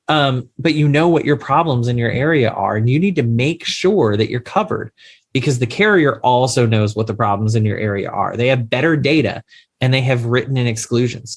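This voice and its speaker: An emphatic man gives advice as a podcast guest, with a middle-pitched voice. He stresses his points and often asks questions back, keeping a plain, explanatory manner. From Louisiana, USA. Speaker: insurance attorney guest. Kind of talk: podcast interview, advising investors.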